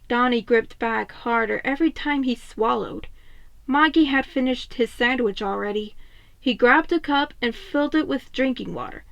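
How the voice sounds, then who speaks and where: Casting: female story narrator, high-pitched and slow-paced, expressive delivery. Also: dry recording, highs cut off. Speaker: fan fiction narrator.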